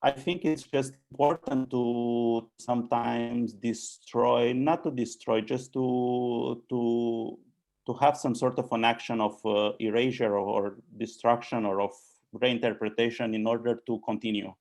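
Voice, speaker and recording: A man speaks slowly and unhurriedly, his low voice crackling with gravel. He stays in a flat, narrow tone, pausing often and restarting his sentences. It comes through a video call. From Romania. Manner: halting, many fillers and restarts. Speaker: architect and author. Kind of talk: hesitant conversational answers.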